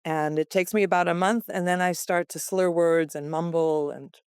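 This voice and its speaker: An American woman in her sixties talks in a chatty, easy way and laughs often. Her voice is smooth and clear. The sound is dry and close. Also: lowish voice, fairly even intonation, normal speed, a little mellow, no room sound. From West Los Angeles, USA. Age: 60s. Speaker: guest. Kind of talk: conversational interview. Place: attic room of a farmhouse.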